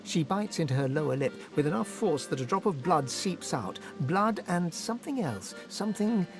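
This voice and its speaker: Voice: mid-range voice, very animated, normal speed, music in the background. A narrator reading dramatic scripted storytelling for a fantasy game.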